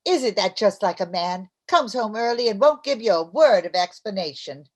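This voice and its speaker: Woman acting a chatty scheming housewife, medium pitch, theatrical, old radio comedy. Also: expressive, close to an everyday voice. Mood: alarmed. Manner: theatrical, quick to jump to conclusions.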